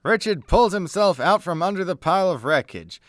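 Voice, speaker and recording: A man's voice: high-pitched, lively intonation, normal speed, fairly bright tone, no room sound, clean studio sound. A man delivering scripted narration as the narrator of a comedy radio play.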